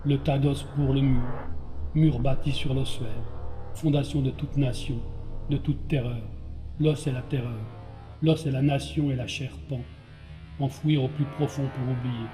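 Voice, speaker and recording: A man with a dark, mellow voice recites a poem over music. His pitch barely moves as he sets down short, clipped phrases. The delivery is solemn and measured throughout. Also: steady tone. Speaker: poet. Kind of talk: spoken-word poetry reading.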